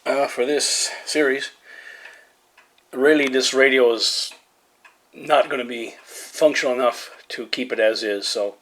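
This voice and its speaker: A man's voice: mid-range voice, steady tone, unhurried, a bit bright. A man giving casual hands-on commentary as a hobbyist repairing something, tentative and muttering as he works. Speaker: hobbyist presenter of a radio repair video. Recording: noisy home recording with ticking and clicks.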